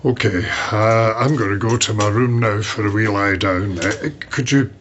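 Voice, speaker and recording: A man's voice: lowish voice, steady tone, moderate pace, a bit bright, dull audio, a little hiss or hum, close to an everyday voice. A man playing a weary, distracted Scottish father hiding his dread in a radio drama, hesitant and faltering.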